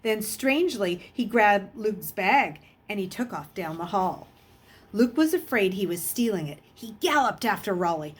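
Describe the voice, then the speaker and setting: A woman reading a children's novel aloud in an expressive storytelling style. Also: medium pitch, measured pace, slightly rough. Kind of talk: expressive storybook read-aloud with character voices.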